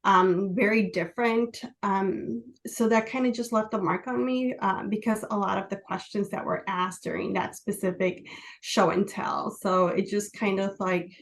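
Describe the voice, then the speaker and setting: A woman in her forties from Chicago, a native Spanish speaker, telling personal stories as a podcast guest in an earnest, halting way with many fillers. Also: medium pitch, steady tone. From Chicago, USA. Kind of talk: casual interview, personal storytelling.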